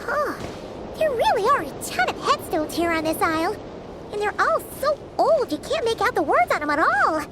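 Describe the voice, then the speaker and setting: A woman voicing a small, childlike, nervous and chatty companion creature in a video game, with a squeaky voice. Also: very animated, moderate pace, very noisy. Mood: curious. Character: small, childlike, jittery but chirpy floating companion creature.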